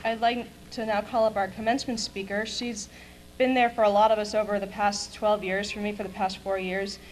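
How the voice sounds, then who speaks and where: A young woman giving a prepared graduation speech as a student, in a measured, declamatory way. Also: mid-range voice, level tone, low-quality recording. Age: young adult. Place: high school graduation ceremony, at a podium. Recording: clear hum and noise of event video.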